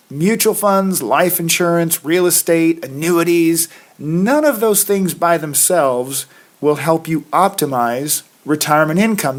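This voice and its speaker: A middle-aged man from Maine hosting a solo podcast, his voice raspy from a cold, talking in a folksy, conversational way with plenty of filler. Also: fairly high pitch. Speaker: podcast host, financial planner. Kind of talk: casual solo podcast talk.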